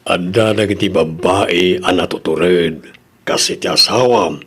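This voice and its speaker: A man acts a blunt friend in an Ilocano audio drama, teasing and admonishing his pal. His voice is low, gravelly and husky, with an Ilocano accent. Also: slow, fairly bright tone, full character voice.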